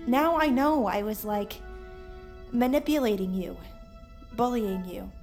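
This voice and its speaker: A woman's voice: mid-range voice, unhurried, a little mellow, faint music behind, close to an everyday voice. An actress playing a stubborn, wry young woman who fights monsters in an audio drama. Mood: remorseful.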